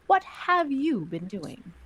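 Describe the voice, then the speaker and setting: A woman playing a ruthless, icy crime syndicate boss in a dramatic script read, imperious, deliberate and menacing. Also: high voice, very animated, a bit bright, full character voice. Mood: scornful anger. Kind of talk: fan script table read, dramatic acting.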